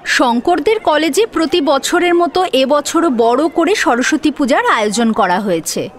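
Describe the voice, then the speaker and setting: A woman's voice: sits high, expressive, moderate pace. A woman reading a scripted story as an audio drama narrator. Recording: music behind the voice. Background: instrumental music.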